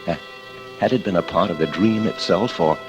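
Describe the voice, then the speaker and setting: Guy acting as deep voiced desperate man in hiding, halting dread, old radio thriller with music behind. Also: close to an everyday voice. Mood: haunted, frantic. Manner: theatrical, halting, repeats words in dread. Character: desperate man hiding out, haunted killer fearing his wife. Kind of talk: 1940s radio drama acting, first-person suspense narration.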